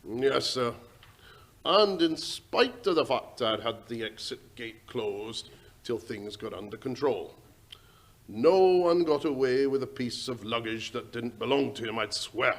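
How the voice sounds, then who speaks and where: A man's voice: medium pitch, lively intonation, unhurried. A man playing a deferential, worried working-class English railway station master in an old-time radio drama, speaking hesitantly and respectfully in a folksy way. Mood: puzzled, earnest.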